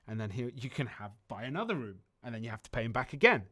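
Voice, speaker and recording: A man's voice: medium pitch, sing-song, fast talker, pushed and bright. A man casually answering viewer questions about a game on a livestream, rambling through explanations with many ums and restarts. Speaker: community manager on a game stream.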